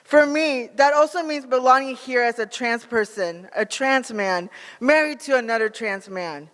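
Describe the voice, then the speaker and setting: A man with an American accent giving a prepared advocacy speech in an earnest, measured manner. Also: extremely high pitch, fairly bright tone, in a large hall. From United States, born in Peru. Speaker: national organizer, advocate.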